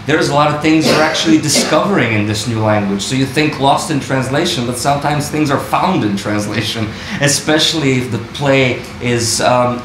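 A man's voice: mid-range voice, noisy background. Man musing in an echoey room. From Bulgaria. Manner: thoughtful, searching for words, frequent restarts. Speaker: actor and translator on a panel. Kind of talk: panel discussion answer. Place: wood-panelled university room, audience present.

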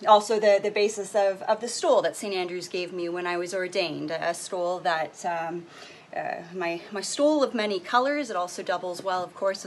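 A middle-aged woman minister giving an informal, unscripted introduction to a reading, halting as she searches for words. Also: mid-range voice. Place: indoor room, video recording. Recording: background noise, room ambience.

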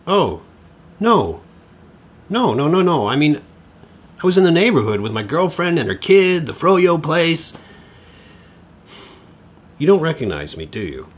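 An actor playing an eager, rambling, over-familiar middle-aged divorced dad in an audio drama. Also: male voice, a little high, a little slow, pauses often, slightly rough, exaggerated voice. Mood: chummy, flustered. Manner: stammering, over-familiar, excitable.

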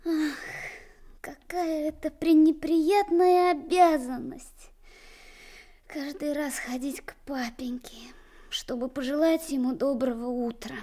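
A woman's voice: high-pitched, fairly even intonation, takes it slowly, mellow. An actress playing a timid but willful little boy, an admiral's son, in a radio drama, childlike and hesitant. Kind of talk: Soviet radio drama acting.

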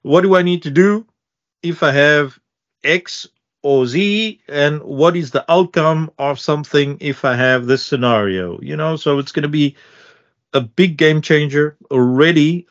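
A middle-aged man talks casually about technology as a co-host on a video call. His voice sits at an ordinary pitch. The audio is a little dull, as if captured in a home office. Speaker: tech show co-host, security specialist. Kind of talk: casual tech discussion.

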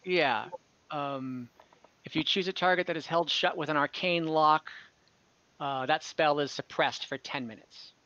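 Game master over a dull video call, bright voice, stops and starts while explaining item stats. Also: medium pitch, measured pace, no room sound. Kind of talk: explaining items in an online tabletop game.

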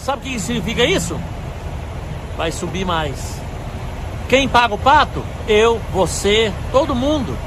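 Man, truck driver vlog, high voice, phone at arm's length, loud traffic noise outdoors. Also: animated, unhurried, long pauses. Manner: indignant, emphatic, talks to viewers as "galera". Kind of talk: casual vlog to camera. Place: outdoors at a truck stop fuel pump.

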